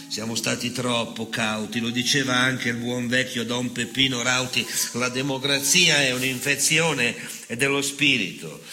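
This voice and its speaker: An actor playing an unrepentant, bitter fascist nostalgic in a declamatory, sardonic theatre monologue. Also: male voice, mid-range voice, pushed and bright, natural voice. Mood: fervent, defiant. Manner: declamatory, sardonic, dramatic swings between murmur and outburst. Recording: reverberant theatre hall with music.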